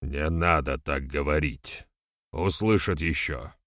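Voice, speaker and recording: A man's voice: deep voice, normal speed, a bit bright, dry recording, clean studio sound. A man voicing an earnest, conciliatory clan elder in an audiobook, with an admonishing, urgent manner. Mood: cautioning.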